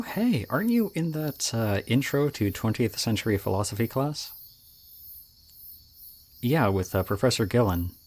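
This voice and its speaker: A man playing an odd, charming young student with a creepy intensity, speaking casually and hesitantly to the listener in an audio roleplay. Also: on the low side. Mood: chatty, animated. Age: young adult.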